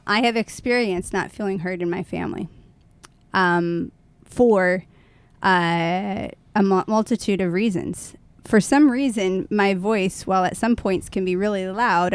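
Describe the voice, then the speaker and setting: A woman with a very clear, smooth voice at a medium pitch co-hosts a reflective podcast. She talks conversationally and thoughtfully, pausing as she works out what she means. The sound is dry, with little room around her. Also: no echo. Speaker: podcast co-host.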